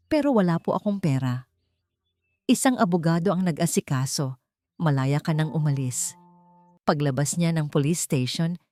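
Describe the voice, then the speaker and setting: A woman narrating a scripted dramatic story with deliberate phrasing, as an audiobook narrator. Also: fairly low pitch, warm tone, no echo. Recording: music bed behind a dry voice. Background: background music.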